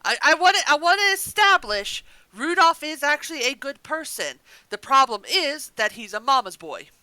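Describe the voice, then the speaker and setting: Female review co-host: enthusiastic, high and bright, casual chat delivery. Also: very animated, moderate pace, no room sound. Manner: gushing, enthusiastic. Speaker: podcast co-host reviewing an audio drama.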